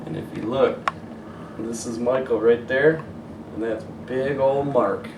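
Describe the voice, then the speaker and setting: A man reads a children's picture book aloud in the animated manner of a teacher. He sounds away from the microphone in an echoey room, with faint noise around him. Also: mid-range voice, a little slow, vocal fry. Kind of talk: animated children's story read-aloud, pausing to show pictures. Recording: roomy echo, distant mic, faint noise.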